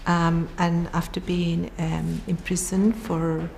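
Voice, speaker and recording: A woman's voice: lowish voice, flat delivery, slow, vocal fry, darkish tone, a little hiss or hum. An older woman with a Chilean accent, a native Spanish speaker, telling her refugee story as an interviewee. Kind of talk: personal testimony.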